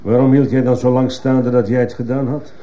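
An actor playing a stern, sceptical judge questioning a witness with authority in a radio drama. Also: male voice, mid-range voice, flat delivery, a little fast, natural voice. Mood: probing, sceptical. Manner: authoritative, probing. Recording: muffled, slightly noisy old radio recording.

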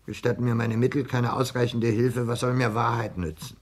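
Actor as a husky, touchy lovesick barber. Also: male voice, medium pitch, little pitch movement, a little fast, close to an everyday voice. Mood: gloomy, insistent. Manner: aggrieved, brooding, flares into passion. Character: frail, touchy, exalted lovesick young barber. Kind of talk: 1960s German radio play acting.

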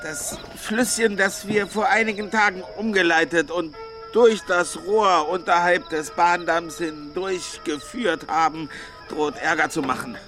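A deferential, worried subordinate in a radio play. His voice sits high, formal and urgent, with clear music underneath. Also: measured pace. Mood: anxious, deferential. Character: anxious, deferential male subordinate reporting trouble.

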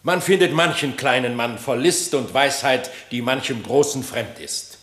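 A man's voice: mid-range voice, moderate pace, a bit bright, close to an everyday voice. A man playing a proud, indignant king of the animals in a radio play, declaiming verse solemnly and emphatically. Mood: commanding. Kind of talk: classic German radio play of a verse fable. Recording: roomy, echoing studio radio recording.

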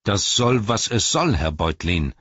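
Man reading as a self-important hobbit police chief: raised, husky, bureaucratic. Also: fairly low pitch, big pitch swings, a little slow, a bit bright, no room sound, lo-fi sound, pitched above the performer's own voice, exaggerated voice. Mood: officious. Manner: stiff, bureaucratic, lecturing. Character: pompous officious male hobbit police captain.

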